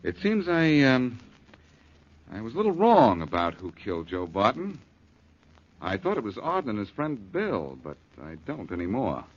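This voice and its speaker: An actor with an American accent playing a confident, wry detective in a 1940s radio drama, assured and clipped. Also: male voice, medium pitch, huge pitch swings, normal speed. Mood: firm, accusing. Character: confident male detective, cool, wry and unflappable. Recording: muffled vintage 1940s radio recording.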